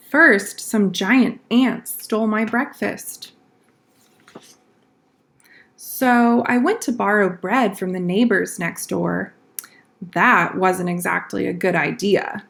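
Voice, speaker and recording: A woman plays a storybook schoolboy full of tall-tale excuses. The delivery is earnest and slow, laying out each excuse as a story. The pitch stays in a medium range for a woman. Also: vocal fry, fairly bright tone. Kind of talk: storybook read-aloud.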